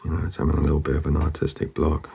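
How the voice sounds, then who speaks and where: A man narrating casually as he draws, laid-back and murmuring, with a husky, gravelly voice that often trails off. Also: rumbling low, monotone, very fast, mellow. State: losing his voice, hoarse. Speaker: artist hosting a drawing channel. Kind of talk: casual narration while drawing.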